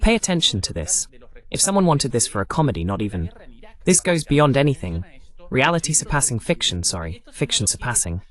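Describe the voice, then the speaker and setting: A man's voice: medium pitch, sing-song, a little fast. A man voicing a political talk show host in translation, presenting the discussion.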